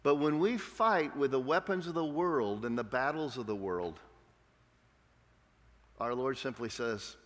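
Emphatic preacher in a reverberant hall. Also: male voice, medium pitch, animated, measured pace, pauses often. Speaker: pastor. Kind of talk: sermon. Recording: long reverb of a large hall.

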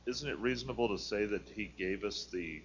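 A man student offering his view in class, halting and searching for words. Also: fairly low pitch, a bit bright, dull audio, a little hiss or hum. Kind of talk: classroom discussion.

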